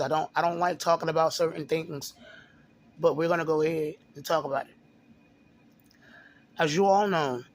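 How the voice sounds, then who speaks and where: Hesitant man with a sore throat. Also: a little high. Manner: halting, repeats words, many fillers. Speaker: video blogger. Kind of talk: casual monologue to camera.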